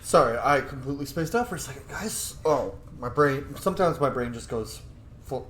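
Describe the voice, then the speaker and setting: A man's voice: medium pitch, expressive, normal speed, fairly bright tone, echoey room, slight background noise. A man hosting a gaming video, giving casual, joking let's play commentary with some roleplay. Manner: joking, offhand.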